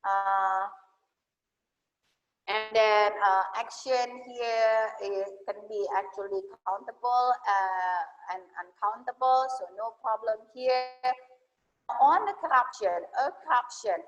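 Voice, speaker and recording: A woman's voice: fairly high pitch, takes it slowly, highs cut off. Indonesian woman teaching grammar, echoey webinar audio. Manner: patient, explanatory, with many fillers and self-corrections. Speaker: English teacher.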